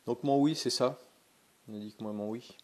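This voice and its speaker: A man's voice: medium pitch, moderate pace, darkish tone. A man with a cold, casually demonstrating something in a vlog and talking in a hesitant, chatty way with many fillers and restarts. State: has a cold. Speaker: pendulum dowsing vlogger.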